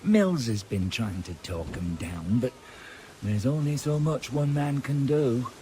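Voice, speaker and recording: A man's voice: medium pitch, expressive, unhurried, dark tone, lightly acted. A man playing a wary, terse sailor in a video game, sharing what he knows with grim caution. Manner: terse, guarded. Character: guarded, wary sailor informant, grimly loyal. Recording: noisy ship ambience.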